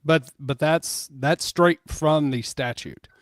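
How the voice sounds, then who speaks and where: A man commenting on a livestream, explaining and reading out notes in a measured, matter-of-fact way. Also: a little high, dry recording, studio quality. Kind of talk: casual chat, reading out notes.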